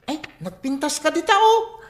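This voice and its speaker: Affectionate daughter, highish voice. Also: female voice, lively intonation, moderate pace. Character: affectionate, admiring daughter chatting with her mother. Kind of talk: radio drama acting. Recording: roomy echo with music behind.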